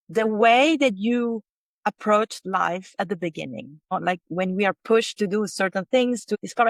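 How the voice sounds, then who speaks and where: A woman's voice: mid-range voice, no echo. A woman hosting an interview, asking reflective, coaxing questions. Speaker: host, wellness coach. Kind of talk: interview conversation.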